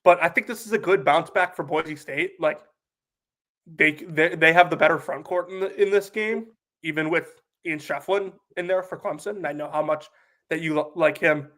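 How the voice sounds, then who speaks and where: A man's voice: fairly high pitch, moderate pace, fairly bright tone. A sick young man co-hosting a casual sports podcast, chatty and full of "like" fillers. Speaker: podcast co-host.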